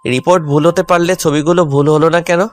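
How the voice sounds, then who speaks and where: A man's voice: fairly high pitch, steady tone, a little fast, close to an everyday voice. A man playing a hurt, angry, stubborn young husband in a dramatised audio love story. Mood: cold, hurt. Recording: music under the voice.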